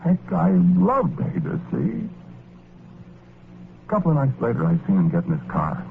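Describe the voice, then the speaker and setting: A man's voice: a little high, huge pitch swings, normal speed, vocal fry, slight background noise, exaggerated voice. An actor playing a meek, wistful working-class American ghost, telling his story in rambling slang in 1940s radio drama style. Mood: earnest, puzzled. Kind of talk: 1940s radio drama first-person narration. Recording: muffled old radio recording with music. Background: music.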